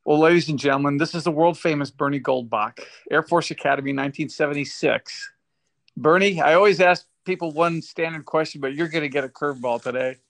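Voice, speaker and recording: A man's voice: a little high, a little fast, vocal fry, fairly bright tone. A man in his sixties interviewing a guest casually, often restarting his questions and laughing along. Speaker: podcast host interviewer.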